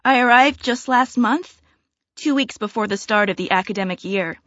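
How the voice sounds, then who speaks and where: An actress playing a friendly young woman who signs up for a social club, answering questions in a relaxed, clear way. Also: on the high side, normal speed, dry recording, lightly acted. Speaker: new club member. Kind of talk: scripted listening-test dialogue. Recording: dry, slightly dull studio recording.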